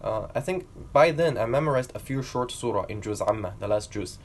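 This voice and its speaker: A man's voice: medium pitch, animated, a little fast. A young man from China telling his own learning story to camera in an earnest, reflective way. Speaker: vlogger.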